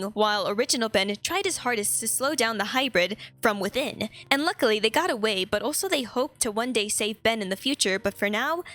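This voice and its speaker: A woman's voice: on the high side, bright, forceful tone. A woman hosting a video, giving a scripted but casual story recap with chatty, conversational commentary. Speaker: video host.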